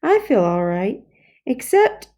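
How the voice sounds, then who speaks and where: An earnest young schoolboy, acted out by a woman reading a storybook. The voice is raised high and pleads its case insistently. He sounds aggrieved and wants very much to be believed. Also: sing-song, slow pace, dark tone. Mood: plaintive. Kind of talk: children's storybook character voice.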